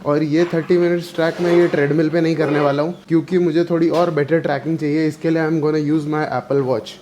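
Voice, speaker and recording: A man's voice: fairly high pitch, little pitch movement, fairly quick, noisy background. A young man vlogging casually to camera about his fitness routine, talking with a sore throat and a slight cold, switching between Hindi and English phrases. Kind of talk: casual vlog talk to camera. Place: home, then gym.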